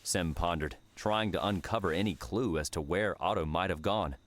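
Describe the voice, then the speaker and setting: A man's voice: low-pitched, moderate pace. A man reading dramatic fiction as a scripted audiobook narration.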